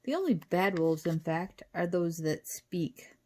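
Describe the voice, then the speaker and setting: A woman voicing a kindly, calm little male professor with a British accent and quaintly proper manners, in a storybook reading. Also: fairly low pitch, measured pace. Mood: polite, welcoming.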